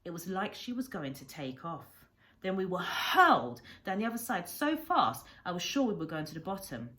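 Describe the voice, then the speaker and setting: A woman reading a storybook aloud like a primary teacher, with deliberate, expressive phrasing. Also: lowish voice.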